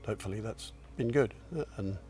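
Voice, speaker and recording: A man in his sixties answering interview questions in a reflective, modest way, pausing and restarting as he thinks. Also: fairly low pitch, dark tone, soft background music. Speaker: company founder and chairman.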